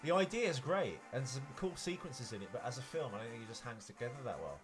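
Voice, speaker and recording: A man's voice: medium pitch, expressive, fast talker. Male co-host, opinionated and explanatory, casual conversational delivery, faint music underneath. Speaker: podcast co-host. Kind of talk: casual film chat.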